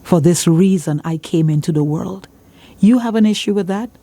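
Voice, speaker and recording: A woman's voice: lowish voice, moderate pace, mellow. A woman with a Trinidadian accent speaking as a church leader in an interview, emphatic and repeating phrases for emphasis like a preacher giving testimony.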